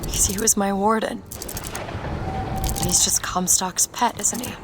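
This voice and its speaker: A woman's voice: mid-range voice, fairly even intonation, unhurried, very noisy, close to an everyday voice. A woman playing an earnest, sheltered young woman in a dramatic video game, with music behind her. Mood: sad, reflective. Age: young adult. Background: loud music.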